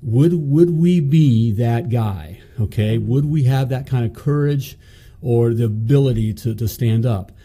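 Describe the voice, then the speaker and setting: A man's voice: mid-range voice, measured pace, dark tone, breathy edge. A middle-aged man hosting a motivational business show in an indoor studio, talking emphatically into a studio mic on a stand and often checking in with "okay?" and "you know". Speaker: host.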